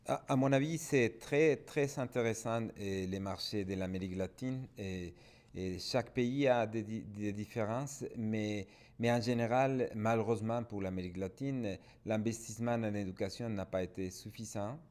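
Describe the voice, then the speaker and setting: Un homme d'origine mexicaine, avec un espagnol mexicain, répond en studio en tant que consultant et répète souvent ses mots. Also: male voice, fairly low pitch, moderate pace, darkish tone. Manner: repeats and restarts words. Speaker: international development consultant. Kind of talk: panel guest answering.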